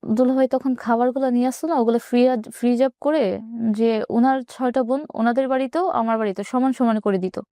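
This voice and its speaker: Interview guest: Bangladeshi woman, twenties, slightly high voice, rapid plain storytelling. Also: steady tone, mellow, no room sound, studio quality. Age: 20s. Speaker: interview guest telling own life story.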